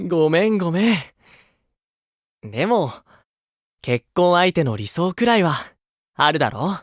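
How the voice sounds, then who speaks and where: A man voicing a gentle, kindly older-brotherly idol with a romantic streak in an anime game, speaking softly. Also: high-pitched, very animated, no room sound, studio quality, heavily acted. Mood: warm, teasing. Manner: soft, kindly, unhurried.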